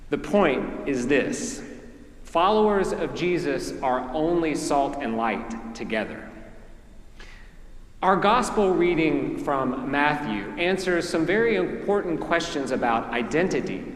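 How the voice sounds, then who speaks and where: A man from Mississippi with a Southern accent, preaching a sermon as a priest in a measured way with deliberate pauses. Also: a little high. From Mississippi, USA. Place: large church. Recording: echoey church hall.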